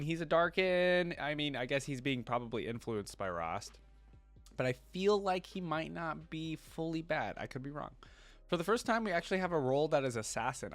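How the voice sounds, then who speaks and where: A man hosts a casual reaction video in a medium-pitched voice. He sounds curious and drops in short remarks as he goes. Faint music plays behind him. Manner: curious, frequently interjecting.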